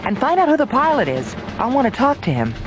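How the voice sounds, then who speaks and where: A woman's voice: high voice, wildly swooping pitch, fast talker, low-quality recording. An actress playing an anxious, urgent female officer on a space station in a radio drama. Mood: urgent, commanding. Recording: music behind dialogue.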